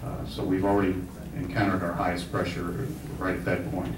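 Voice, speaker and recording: Low flat-voiced man in echoey hall. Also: a little fast. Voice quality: low, flat, many fillers. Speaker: panel speaker on gas drilling. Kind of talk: public Q&A answer. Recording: echoey hall, far mic, noisy.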